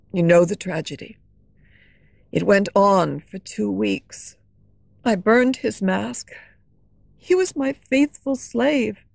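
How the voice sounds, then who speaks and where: A woman's voice: medium pitch, creaky, darkish tone, husky, no echo, full character voice. A woman reading as a frightened young female singer telling her lover a terrible story. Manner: earnest, fearful storytelling.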